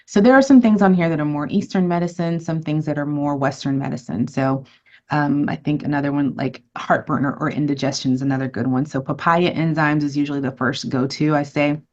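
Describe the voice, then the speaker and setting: A woman's voice: sits low, fairly quick, darkish tone, muffled recording. A woman physician giving an educational webinar to patients, friendly and conversational as she answers questions and runs polls.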